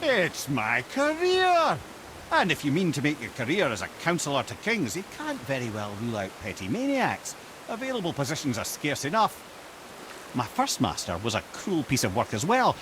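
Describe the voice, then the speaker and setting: A witty old Scottish counselor from a video game. He tells tales with wry, eloquent flourish and a very lively, swooping pitch, with clear ambient noise behind him. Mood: amused, reminiscing. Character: witty, wry old counselor, a well-travelled talking head. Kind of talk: video game character dialogue.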